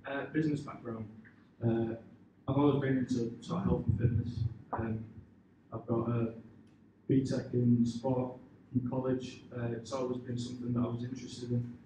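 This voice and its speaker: A man giving informal, hesitant answers to questions after pitching his business, leaning on filler phrases. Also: level tone, normal speed. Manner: hesitant, informal, leans on "you know" and "sort of". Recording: echoey room, distant mic, clear background noise.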